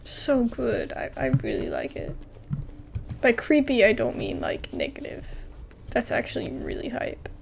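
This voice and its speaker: A woman's voice: fairly high pitch, wildly swooping pitch, measured pace, creaky, a little hiss or hum. A woman giving casual, candid and reactive gameplay commentary as the host of a gaming video.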